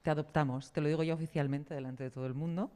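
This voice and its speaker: A woman's voice: low voice, steady tone, fast, dark tone. A woman introducing a public round table as its presenter. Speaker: event presenter.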